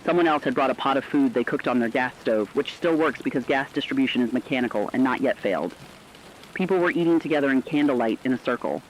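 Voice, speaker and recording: A man's voice: medium pitch, lively intonation, fast, slight background noise, natural voice. A man playing a solemn radio presenter, reading scripted dispatches in an earnest, reflective storytelling manner. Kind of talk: scripted dramatic radio narration. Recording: dull band-limited radio sound.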